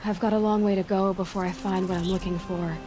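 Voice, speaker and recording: An actress playing a reserved, independent young huntress in a game cutscene, speaking directly but a little tentatively. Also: female voice, medium pitch, flat delivery, quick pace, natural voice. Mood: gentle, reserved. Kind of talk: dramatic video game cutscene acting. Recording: music behind the voice.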